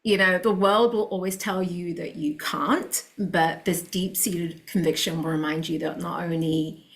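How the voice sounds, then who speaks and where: Podcast guest: woman, London accent, low-ish voice, earnest and motivational. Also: fairly even intonation, measured pace, vocal fry. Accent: London English. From London, England. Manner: earnest and motivational, with a few laughs. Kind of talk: casual interview chat.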